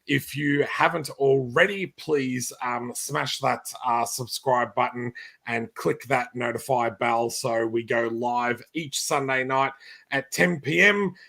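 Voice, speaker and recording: An Australian man co-hosting a casual live-stream chat, hoarse and croaky, laid-back and laughing with many fillers. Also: medium pitch, takes it slowly, bright, forceful tone. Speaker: podcast co-host.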